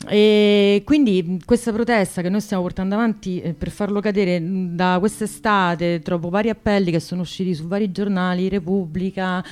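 A woman's voice: medium pitch, fairly even intonation, moderate pace, hardly any pauses, a little mellow. A woman activist explaining her cause as a guest on a radio show, hesitant and searching for words.

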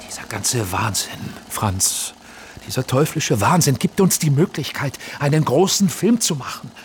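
A man's voice: medium pitch, sing-song, measured pace, a little hiss or hum. An actor playing a driven film director who insistently urges his cameraman on in a radio drama. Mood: persuasive. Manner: insistent, persuasive. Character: driven male film director, commanding, urging.

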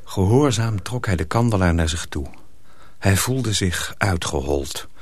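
A man narrating a radio play in a measured, literary storytelling manner, as a sober teller of a dark folk tale. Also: low voice, expressive, no room sound. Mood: measured, grave.

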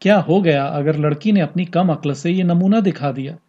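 A man voicing an exasperated husband who dismissively chides his overthinking wife in a story reading, with a slightly husky voice. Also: fairly high pitch, moderate pace, warm tone. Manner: emphatic, dismissive. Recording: slightly dull, lo-fi podcast audio.

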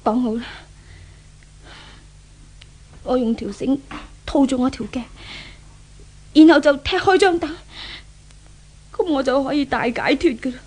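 A woman's voice: sits high, normal speed, long pauses, a little mellow, close to an everyday voice. An actress playing an anguished, suicidal young rich lady haunted by a ghost, in theatrical Hong Kong Cantonese 1970s radio drama style. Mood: grim resolve. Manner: theatrical soliloquy, exclaiming and lamenting. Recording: old 1970s radio drama with background music.

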